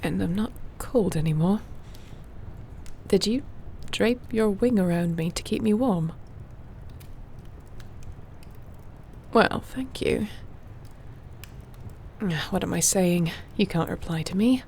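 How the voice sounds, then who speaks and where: A woman playing a gentle, wry warrior shifter with healing magic in an intimate fantasy audio roleplay, speaking softly and soothingly without hurry. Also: medium pitch, unhurried, creaky, long pauses, slight background noise. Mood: relieved, wondering.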